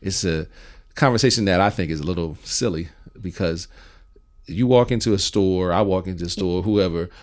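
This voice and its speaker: A middle-aged American man hosting a podcast conversation, talking in an animated, emphatic way and often jumping in over his guest. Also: deep voice, normal speed. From United States. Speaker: podcast host. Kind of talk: casual conversation.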